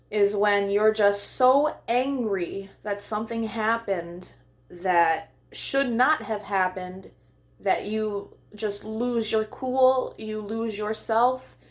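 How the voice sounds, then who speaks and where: A woman hosting a children's storytime, speaking in simple, deliberate phrases meant for young listeners. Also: mid-range voice. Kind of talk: read-aloud and talk addressed to young children.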